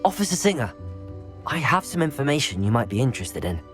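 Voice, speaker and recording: An actor playing a polite, self-assured young student in a video game, speaking in a measured, assertive way. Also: medium pitch, sing-song, soft background music, natural voice. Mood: firm, indignant.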